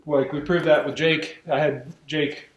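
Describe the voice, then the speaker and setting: A man's voice: on the high side, steady tone, unhurried, echoey room. A young man chatting casually in a workshop, thinking aloud and restarting his sentences. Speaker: channel host. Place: open garage workshop.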